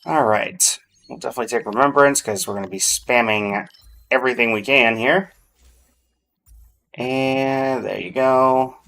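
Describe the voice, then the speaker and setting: A man's voice: mid-range voice, steady tone, unhurried, gravelly, long pauses, a bit bright. A man doing casual, chatty livestream commentary on a video game, speaking directly to his viewers. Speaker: live streamer.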